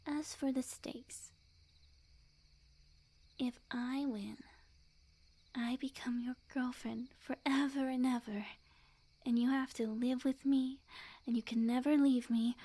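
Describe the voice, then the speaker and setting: A woman playing a sweet, smug, possessive witch in love, in a soft, breathy ASMR roleplay addressed to the listener. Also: fairly high pitch. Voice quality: soft, breathy, often whispered. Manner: slow, coy, teasing, overconfident.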